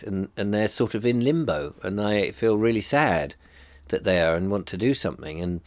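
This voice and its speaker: A middle-aged man with a stuffy, bunged-up nose talks in a deep, low voice. He muses aloud, pausing on ums and restarting his thoughts. The sound is dry and close, with no room around it. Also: no room sound. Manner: hesitant, many ums and restarts, musing. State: congested, bunged up. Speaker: vlogger. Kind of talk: rambling personal reflection to camera.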